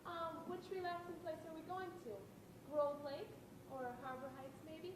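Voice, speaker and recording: Candid teasing date-night teen girl played by a young woman, very high, distant echoey stage recording. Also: normal speed, noisy background. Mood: curious. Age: young adult. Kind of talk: amateur stage play acting.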